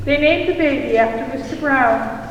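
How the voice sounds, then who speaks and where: A woman's voice: sits high, normal speed, highs cut off. A woman narrating a puppet show, telling a story for children. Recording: echoey hall from far off, music.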